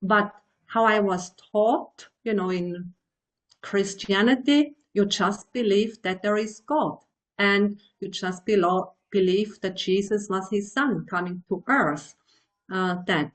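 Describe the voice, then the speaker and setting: A woman in her sixties from Switzerland, a meditation teacher answering interview questions in a thoughtful way, pausing to find her words. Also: medium pitch. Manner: slow, thoughtful, searching for words.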